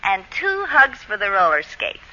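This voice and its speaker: An actress playing an affectionate, teasing young sweetheart in a 1940s radio comedy. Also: female voice, medium pitch, wildly swooping pitch, moderate pace, very noisy. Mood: tender, teasing. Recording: dull old radio broadcast recording.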